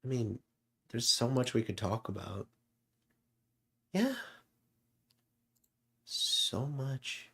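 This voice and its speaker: A man's voice: medium pitch, very slow, gravelly, long pauses, a bit bright, breathy edge. A man hosting a show in casual, reflective chat, hesitant and often trailing off. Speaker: show host.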